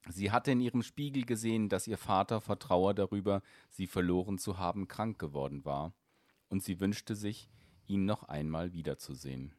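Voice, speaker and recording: A man calmly narrating a fairy tale in a measured storytelling voice. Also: low voice, no room sound, clean studio sound.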